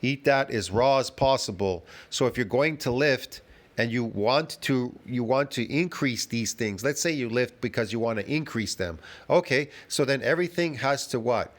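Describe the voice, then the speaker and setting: A man's voice: medium pitch, lively intonation, moderate pace. Man, emphatic, repetitive, rhetorical questions, reaction commentary. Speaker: fitness commentator.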